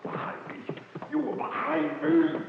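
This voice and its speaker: An actor playing a tense explorer in a dark cave, commanding and suspicious in 1940s radio style. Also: male voice, very high-pitched, sing-song, a little slow, gravelly, background noise, full character voice. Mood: alarmed, demanding. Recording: echoey cave reverb, dull old radio recording.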